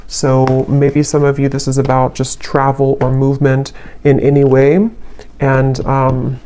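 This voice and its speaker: A woman giving an unscripted tarot reading in a reflective, halting way, letting her phrases trail. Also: very deep voice, completely flat delivery, dark tone, soft background music. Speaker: tarot reader, channel host.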